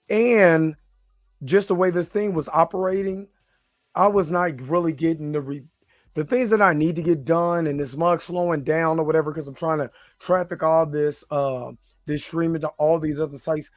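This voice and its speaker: A man with a slightly hoarse voice hosting a livestream, talking casually in an informal, rambling, hesitant way. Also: a little high, measured pace, no echo, studio quality. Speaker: host of a livestream reaction show.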